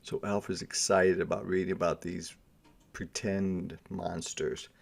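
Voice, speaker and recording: A man reading a storybook aloud to children as a teacher, moving between dramatic narration and quieter explanatory asides. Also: low voice, level tone, unhurried, vocal fry.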